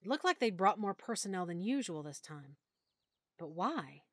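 A woman narrating a full-cast audiobook in first person, with expressive, clear storytelling. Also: on the low side, normal speed, no echo, studio quality. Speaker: narrator and lead character.

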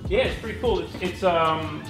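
A man's voice: a little high, moderate pace, fairly bright tone, soft background music. A man showing his race car in a workshop, talking casually and repeating words as he goes. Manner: repeats words, restarts, enthusiastic about parts. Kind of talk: casual walkaround chat.

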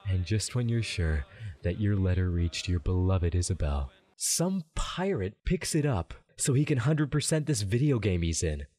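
A man's voice: deep voice, big pitch swings, moderate pace, dark tone. A man narrating a scripted comedic sponsor read as channel host, tongue-in-cheek.

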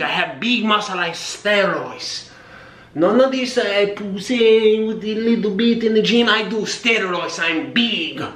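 A man's voice: high-pitched, a bit bright, full character voice. A man playing a boastful Mexican gym bro on steroids in a comic monologue, with a Mexican accent. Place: indoor home kitchen. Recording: roomy kitchen, distant camera mic.

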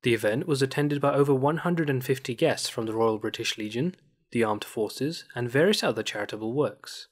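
Husky male news voice-over. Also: medium pitch, fairly quick. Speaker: news reporter. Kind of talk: scripted news narration. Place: studio voice-over.

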